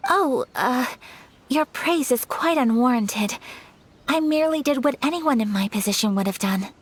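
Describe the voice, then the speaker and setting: A woman voicing a graceful young noblewoman in a game, speaking formally, gently and in a measured way. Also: sits high, lively intonation, breathy edge. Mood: earnest. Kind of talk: game voice acting.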